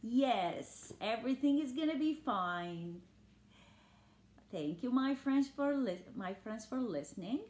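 An older woman reads a children's picture book aloud in a fairly high, very animated voice. She is exaggerated and sing-song, addressing small listeners directly. The room adds noticeable echo around her. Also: slow pace. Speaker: storyteller reading to young children. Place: indoors in a living room. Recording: roomy home recording.

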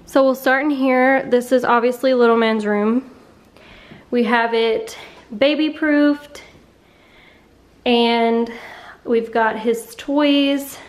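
A woman's voice: fairly high pitch, steady tone, slow, pauses often. A young woman casually narrating a walkthrough of her home as she films it, talking in a chatty, offhand way. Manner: chatty, offhand, trails off mid-thought. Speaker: vlogger giving a home tour. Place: rooms of a mobile home. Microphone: handheld camera while walking.

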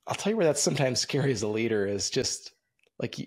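A man in his thirties hosting an interview over a video call from a room with bookshelves, speaking in a relaxed, reflective, conversational way. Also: mid-range voice, fast talker, slight background noise. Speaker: podcast host. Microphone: video call, webcam.

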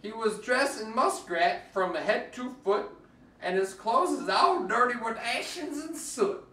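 A man performs a storybook in dialect with playful exaggeration. His pitch swings widely as he takes his time over each line. He is recorded at a distance from the mic in an echoey room. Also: lively intonation, slow, bright, forceful tone. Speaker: storyteller reading a picture book aloud. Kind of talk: theatrical storybook reading in Cajun dialect.

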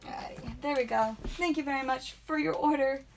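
Woman, high pitch, calming voice, playful live-stream host, faint background hiss. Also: fairly quick. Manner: playful, says sound effects aloud. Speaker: trading card stream host. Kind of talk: live pack-opening stream chat. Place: indoor room with whiteboard.

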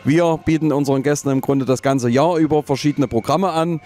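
A man's voice: a little high, a little fast, darkish tone. Male hotel owner, thirties, informal presenter, hesitant and wordy delivery. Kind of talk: informal promotional presentation to camera. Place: covered outdoor terrace beside model railway. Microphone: handheld microphone with foam windscreen.

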